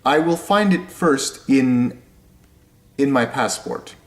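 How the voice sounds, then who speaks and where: A young man from Indonesia, explaining things step by step in a casual vlog, talking slowly and often repeating or correcting himself. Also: medium pitch, expressive. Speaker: vlogger. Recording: echoey room.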